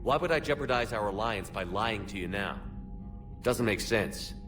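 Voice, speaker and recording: An actor playing a resolute human military commander in a video game, with a direct, firm manner. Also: male voice, fairly low pitch, lively intonation, normal speed, close to an everyday voice. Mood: confrontational. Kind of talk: video game cutscene acting. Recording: music behind.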